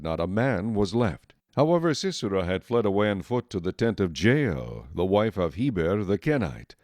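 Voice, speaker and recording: A man's voice: on the low side, a little mellow, no room sound, studio quality. A man narrating a dramatized Bible story as scripted, solemn storytelling.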